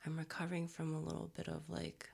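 A young man whispering softly into a close mic, his voice raspy and a little hoarse from a recent cold. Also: on the high side, monotone. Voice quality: raspy, strained.

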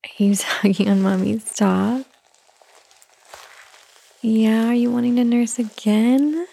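A woman speaking in a soft, soothing ASMR whisper, slow and unhurried. Her voice has a mellow, darker tone with a gravelly fry running through it. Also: on the high side, fairly even intonation. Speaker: ASMR performer.

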